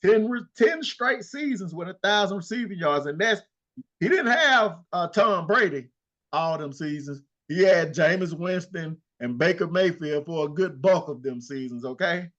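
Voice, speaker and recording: An older man talking sports with a higher-pitched voice and lots of swagger, reeling off numbers and needling his co-host. The sound is dull and lo-fi. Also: normal speed. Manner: animated, trash-talking, rattles off stats. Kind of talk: casual sports talk.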